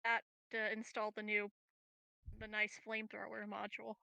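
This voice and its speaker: A woman's voice: medium pitch, monotone, normal speed, vocal fry, sharp tone, smooth, no room sound, dull audio. A woman chatting casually as a player in a tabletop game. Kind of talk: casual chat.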